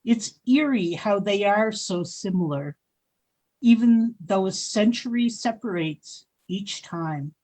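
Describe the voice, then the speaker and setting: Brief: a woman as a measured, breathy otherworldly spirit. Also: mid-range voice, animated, warm tone. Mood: solemn. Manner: very slow, measured. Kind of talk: amateur radio play acting.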